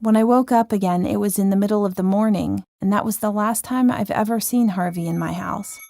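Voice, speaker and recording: A woman tells a first-person ghost story from a script. Her voice is of medium pitch and has a noticeable creak to it. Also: brisk, warm tone. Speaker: story narrator.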